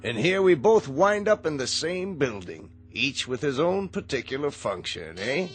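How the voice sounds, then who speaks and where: Male pompous, patronizing tycoon: slow, animated delivery, condescending, radio drama. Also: medium pitch, soft background music. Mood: smug, patronizing.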